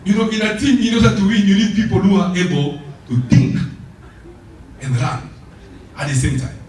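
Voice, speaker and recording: A man from the Democratic Republic of Congo with a Congolese French accent, whose first language is French, giving a lively motivational speech. He asks the audience rhetorical questions and repeats his key phrases. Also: sits high, moderate pace, slight background noise. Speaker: motivational speaker. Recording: very echoey hall, voice from far off.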